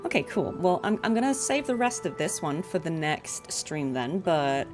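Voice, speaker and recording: Low-pitched woman chatting on a livestream. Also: very animated, normal speed, music in the background. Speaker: streamer and voice actress. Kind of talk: casual livestream chat.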